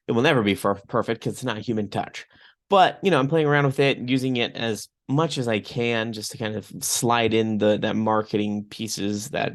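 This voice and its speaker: Young guy podcasting, rambly with lots of ums, voice a bit funky from being sick, middling pitch. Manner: chatty, rambling with fillers. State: recovering from strep throat. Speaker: podcast host. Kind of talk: casual podcast monologue.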